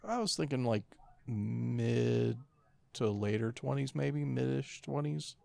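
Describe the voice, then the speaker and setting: A man's voice: medium pitch, lively intonation, slow, creaky, darkish tone, dry recording. A man co-hosting a podcast in casual, sweary conversation. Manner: profane. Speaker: podcast co-host. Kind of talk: casual chat.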